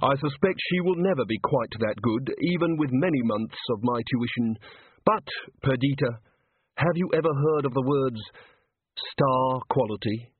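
A man's voice: medium pitch, moderate pace, husky, dry recording, natural voice. A coolly superior music master, voiced by a male storyteller, lofty and exact. Words are clipped, with key ones stretched for effect. The recording is narrow and telephone-like. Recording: thin narrow-band phone-like sound.

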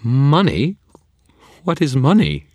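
A man's voice: mid-range voice, wildly swooping pitch, slow pace, darkish tone, no room sound, studio quality, pitched above the performer's own voice, full character voice. A man voicing a sly, seductive female fairy who purrs and bargains with teasing whispers. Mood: coaxing. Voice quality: purring, teasing, whispery at times. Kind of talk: audiobook character voice.

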